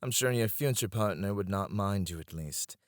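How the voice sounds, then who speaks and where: Man acting as a deep-voiced, courtly royal advisor. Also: normal speed, no echo, studio quality, natural voice. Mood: fond, playful. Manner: courtly, formal, softly teasing. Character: devoted, teasing male royal advisor to a sovereign. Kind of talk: ASMR romantic roleplay acting.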